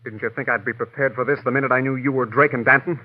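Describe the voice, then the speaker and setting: An actor playing a big, defiant, cornered murderer in an old-time radio drama, husky and curt. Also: male voice, mid-range voice, fairly even intonation, fast talker, close to an everyday voice. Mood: defiant, angry. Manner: sneering, curt. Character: sneering, defiant big man, cornered murderous doctor villain. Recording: muffled vintage radio recording.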